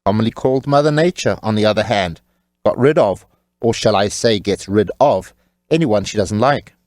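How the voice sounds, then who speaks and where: A man's voice: mid-range voice, a little mellow, no room sound, studio quality. A man narrating an audiobook as its author, in a wry, tongue-in-cheek manner.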